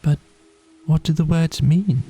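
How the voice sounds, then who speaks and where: A man voicing a weary, gentle male mole in a storybook, drowsy and wistful in manner. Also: on the high side, measured pace, slightly rough, pitched above the performer's own voice. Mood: wistful, patient.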